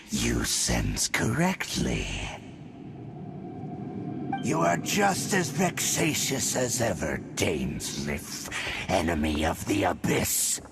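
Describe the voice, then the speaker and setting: Abyssal villain herald: rumbling very low, slow, wildly swooping, theatrical menace for a fantasy game, faint music behind. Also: male voice, a bit bright, full character voice. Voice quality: gravelly, rumbling. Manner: archaic, grandiose, drawn-out taunting. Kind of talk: fantasy video game voice acting.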